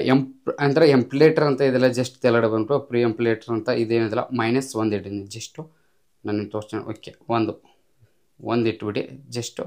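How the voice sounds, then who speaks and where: A man with a mid-pitched voice talks very fast through a casual app tutorial. He instructs step by step, repeating himself and dropping in English tech words. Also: darkish tone.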